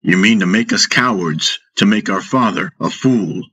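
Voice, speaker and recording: A man's voice: low-pitched, moderate pace, fairly bright tone, slightly rough. A man voicing a burly, hot-tempered young warrior in a fantasy audiobook, growling and taunting. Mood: combative. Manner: growling, combative.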